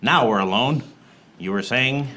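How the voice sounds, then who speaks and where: An actor playing a wisecracking, world-weary private detective in a film noir radio play parody, with a deadpan delivery. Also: male voice, medium pitch, unhurried. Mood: wry, cocky. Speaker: actor in lead role and narrator. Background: music behind the voice.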